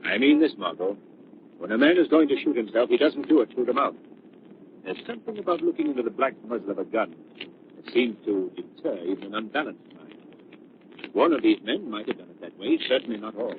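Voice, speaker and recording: Male actor as astute sleuth: assured, dramatic, mid-pitched, 1930s radio serial with light noise. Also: lively intonation, lightly acted. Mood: grave. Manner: deliberate, dramatic, authoritative. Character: confident, astute gentleman detective, grave and deliberate. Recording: tinny, noisy 1930s radio recording.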